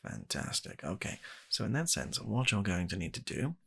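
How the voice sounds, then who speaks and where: A British man softly playing a kind, polite matchmaker in an ASMR roleplay, hesitant and courteous, with a breathy, gravelly voice close to the microphone. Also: sits low, sing-song, normal speed, pitched above the performer's own voice, exaggerated voice. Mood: reassuring, courteous. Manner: hesitant, courteous, reassuring salesman patter. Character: kind, polite British gentleman running a dating agency. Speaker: ASMR performer.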